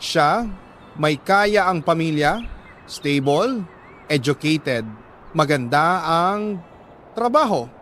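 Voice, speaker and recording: A man with a Filipino accent narrating a first-person story in an earnest, emotive way, with deliberate pauses between short phrases. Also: a little high, expressive, a bit bright, a little hiss or hum. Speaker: radio drama narrator reading a letter sender's story.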